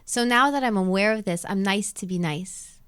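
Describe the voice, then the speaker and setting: A woman's voice: mid-range voice, moderate pace, fairly bright tone, smooth. A woman from Montreal whose first language is Arabic, chatting reflectively in a casual interview and repeating phrases for emphasis. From Montreal, Canada. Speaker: radio show host being interviewed. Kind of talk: casual live interview chat. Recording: music playing behind the voice.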